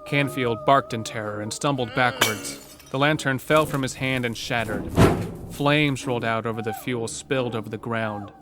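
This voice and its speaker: A man's voice: medium pitch, moderate pace. A man reading scripted narration for a horror audio drama, as a storyteller. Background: music under the narration.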